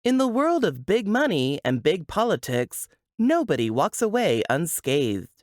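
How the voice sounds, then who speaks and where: A woman's voice: on the low side, big pitch swings, unhurried, dry recording, studio quality. A woman narrator reading a scripted book blurb.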